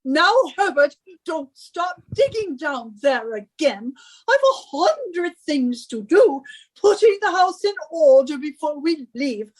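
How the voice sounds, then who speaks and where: Woman doing a scolding british wife in a radio play, slow and imperious, voice swoops all over. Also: sits high, big pitch swings, full character voice, low-quality recording. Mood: bossy, scolding. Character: bossy, scornful, henpecking British middle-aged wife. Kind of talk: radio suspense drama acting.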